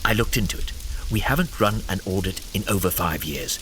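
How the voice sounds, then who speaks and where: An actor playing a sly, playful courtly schemer in an audio drama, talking in flowery, teasing phrases with theatrical flair. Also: male voice, low-pitched, lively intonation, fairly quick, fairly bright tone, a little hiss or hum. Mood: earnest scheming.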